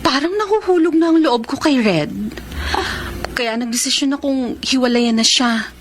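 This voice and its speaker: A woman's voice: sits high, moderate pace, a little hiss or hum, lightly acted. A woman playing a conscience-torn young woman with a secret in a Filipino radio romance drama. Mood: guilty, confessing. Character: conscience-torn young woman posing as her dead twin.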